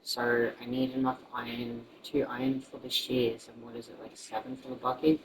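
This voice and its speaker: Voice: mid-range voice, flat delivery, moderate pace, distant mic, a little hiss or hum, poor audio. A game streamer chatting casually and thinking aloud in a rambling, sweary way.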